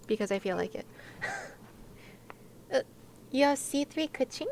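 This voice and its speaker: A woman's voice: sits high, expressive, measured pace, a little mellow, slight background noise. A woman chatting casually to viewers on a game livestream, with a playful, giggly, chatty manner. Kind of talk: casual livestream chat while gaming, talking to viewers.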